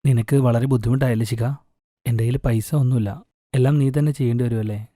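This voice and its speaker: A man voicing a gentle, earnest young husband in a cartoon moral story, with a soft, sincere manner. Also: mid-range voice, steady tone, fairly quick, no room sound, studio quality, natural voice. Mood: apologetic.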